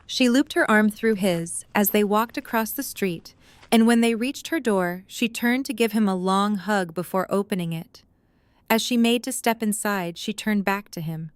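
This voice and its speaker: A woman's voice: medium pitch, moderate pace, smooth, dry recording. A woman reading scripted story narration as the narrator of a drama.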